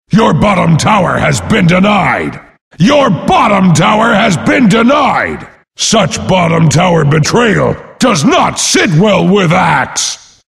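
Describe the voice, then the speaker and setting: An actor voicing a boastful, brash brute warrior as a video game announcer, bellowing pompously in a gravelly voice. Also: male voice, high-pitched, a bit bright, long reverb tail, heavily acted. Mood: indignant. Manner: pompous, speaks of himself in third person.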